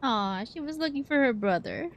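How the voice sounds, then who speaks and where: A woman's voice: high voice, lively intonation, normal speed, dull audio. A woman livestreamer giving casual, playful, gushy reactions while playing a game.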